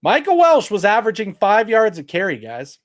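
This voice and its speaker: Male sports host, higher-pitched, loud and projecting, exasperated post-game breakdown. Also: huge pitch swings, a little fast. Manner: reads stats aloud to chat. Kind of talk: post-game livestream commentary.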